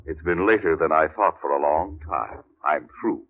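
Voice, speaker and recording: An actor playing an aging, tired and wily crime boss with a gravelly, husky voice, emphatic in an old radio drama style. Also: male voice, very deep voice, moderate pace, heavily acted. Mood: insistent, weary. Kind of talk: 1940s-50s radio acting. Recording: muffled, narrow-band old radio recording.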